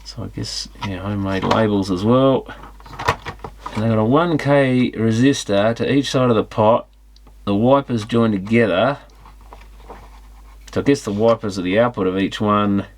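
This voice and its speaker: A man with a fairly low voice talks casually through an electronics teardown. He muses aloud in an unscripted way, working things out as he goes. There is some faint noise behind him. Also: normal speed, long pauses. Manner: musing, thinking aloud. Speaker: electronics repair hobbyist presenting a teardown.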